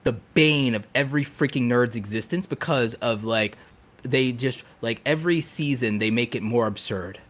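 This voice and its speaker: A man chatting casually as a podcast co-host, telling stories in an animated, geeky, emphatic and joking way. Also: medium pitch, moderate pace. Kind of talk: casual chat.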